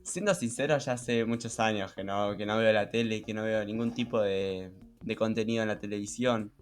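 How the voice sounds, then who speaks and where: A young man with a Rioplatense accent talks casually as a podcast co-host. His voice is on the low side and his delivery is reflective, repeating words as he searches for the next. Soft music runs underneath. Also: steady tone, moderate pace. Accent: Rioplatense Spanish. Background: background music.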